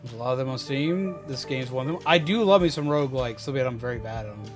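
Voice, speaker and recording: A man's voice: mid-range voice, expressive, quick pace. A man doing casual game livestream commentary, joking playfully and repeating his phrases as he chats with viewers. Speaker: game streamer. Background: game music.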